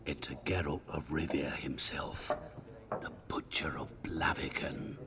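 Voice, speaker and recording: An actor playing a sly wandering merchant in a fantasy game, florid and courteous, ingratiating and teasing. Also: male voice, rumbling low, fairly even intonation, measured pace, in a whisper. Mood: theatrical, ingratiating. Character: sly, humble-seeming wandering male merchant, theatrical and courteous. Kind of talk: fantasy video game acting. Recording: game dialogue with clear background noise.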